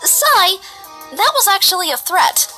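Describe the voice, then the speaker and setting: Casting: tiny perky cartoon skeleton, sugary innocence hiding menace, bright, bouncing intonation. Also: female voice, very high-pitched, sing-song, a little slow, soft background music, exaggerated voice. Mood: sweetly threatening. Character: small, perky skeleton club president, sweetly menacing. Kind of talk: comic dub voice acting.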